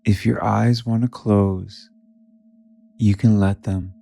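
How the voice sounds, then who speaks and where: A man leading a guided meditation in a soft, soothing manner, leaving long pauses between short phrases. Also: fairly low pitch, fairly even intonation, creaky.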